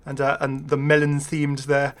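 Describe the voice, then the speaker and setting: A man's voice: fairly high pitch, monotone, moderate pace. Chatty, rambling man doing game commentary. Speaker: gaming video host.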